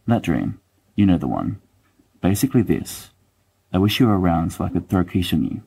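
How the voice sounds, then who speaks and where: A man's voice: low-pitched, big pitch swings, moderate pace, vocal fry, warm tone, husky, exaggerated voice. A man playing a wry young letter-writer, rambling fondly and self-deprecatingly as he reads his letter aloud. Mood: wistful, wry. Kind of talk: dramatised reading of a letter.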